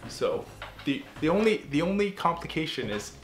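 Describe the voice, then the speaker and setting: A man's voice: on the high side, big pitch swings, normal speed, roomy sound, faint music behind. A young man vlogging casually at home, sounding a little nasal from a coming cold, with many ums and restarts. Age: young adult. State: getting a cold. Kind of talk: casual chat to camera. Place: home living room, indoors.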